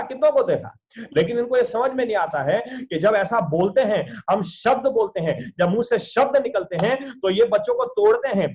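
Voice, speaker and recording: A man with a notably high voice delivers an earnest sermon as a pastor over a video call. He often stops to pose rhetorical questions to his listeners. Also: a little mellow.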